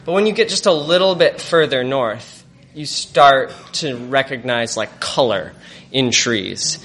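A man with an even, mid-pitched voice recalls a childhood memory for a live audience. He speaks haltingly, searching for words and restarting his phrases as he reflects. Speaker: storyteller at a live event. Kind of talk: personal storytelling to a live audience.

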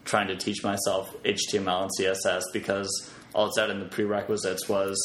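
Low-voiced man in an echoey room. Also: completely flat delivery, fairly quick, a bit bright. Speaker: podcast guest, web developer. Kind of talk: casual conversational interview. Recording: roomy echo, clear background noise.

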